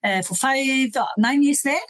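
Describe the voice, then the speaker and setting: A woman's voice: high voice, measured pace. A woman in her fifties, a corporate sustainability executive, talking through her career in an interview with frequent hesitations and fillers. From Sweden. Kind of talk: interview conversation. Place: in Switzerland.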